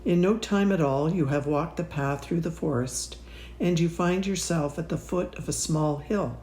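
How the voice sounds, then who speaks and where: A woman leading a guided meditation in a measured, soothing way, leaving long pauses between phrases. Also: deep voice, dark tone, reverberant, slight background noise. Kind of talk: slow guided meditation narration.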